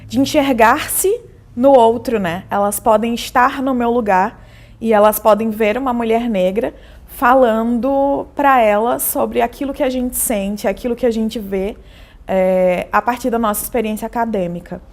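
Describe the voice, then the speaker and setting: A woman journalist and university lecturer giving a measured, earnest interview testimony, often tagging her phrases with a questioning "né?". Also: fairly high pitch, darkish tone.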